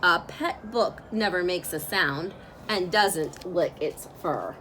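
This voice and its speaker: A woman reading a picture book aloud as a storyteller, with expressive, drawn-out phrasing for young listeners. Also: on the low side, slight background noise. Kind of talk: expressive children's read-aloud narration.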